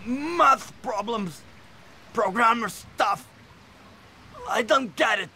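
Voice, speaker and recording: An actor playing a sadistic, thuggish torturer guard who mocks and turns cowardly in a video game. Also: male voice, very high-pitched, animated, slow pace, bright, forceful tone, a little hiss or hum, full character voice. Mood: frightened, pleading.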